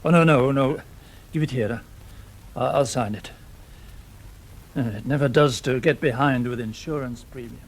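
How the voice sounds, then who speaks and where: An actor playing an ailing, weary but firm middle-aged British factory owner in a radio drama, speaking formally with some hesitation. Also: male voice, medium pitch, moderate pace, long pauses, slight background noise, lightly acted. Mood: flustered, tired.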